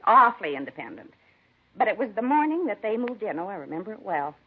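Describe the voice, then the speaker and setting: A woman's voice: medium pitch, very animated, quick pace, husky. An American woman acting a nosy, gossipy, indignant middle-aged neighbor who dotes on her cats, in a 1950s radio drama. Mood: chatty. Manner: chatty, indignant, rambling. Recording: dull 1950s radio broadcast recording.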